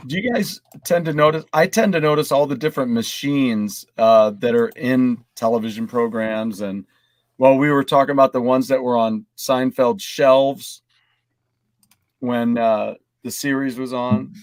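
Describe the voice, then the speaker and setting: A man's voice: mid-range voice, a little slow, no echo. Man chatting casually, dry close sound. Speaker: podcast panelist. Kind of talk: casual group chat.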